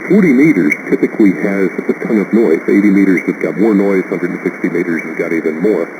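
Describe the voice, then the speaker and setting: Deep-voiced man over hissy shortwave radio. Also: quick pace. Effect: shortwave radio transmission. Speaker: amateur radio operator giving technical advice. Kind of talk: casual radio chat, explanatory. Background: radio static and hiss.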